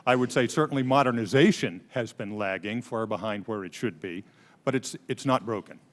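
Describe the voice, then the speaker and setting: A man with a medium-pitched voice gives formal testimony as a government official. He words things carefully. His voice rings through a large hearing room with long reverb. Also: a little fast.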